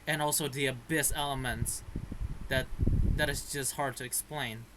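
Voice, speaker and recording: A male gaming streamer with a middle-pitched voice talks casually over a game. He thinks aloud in halting bursts. The recording has noticeable noise and clicks. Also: steady tone. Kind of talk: casual game reaction commentary.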